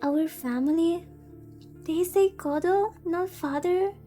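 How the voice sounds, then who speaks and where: A woman's voice: very high-pitched, takes it slowly, warm tone, soft background music, pitched above the performer's own voice. A woman voicing a naive young visual-novel heroine who narrates her own story in an earnest, literary way. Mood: disbelieving.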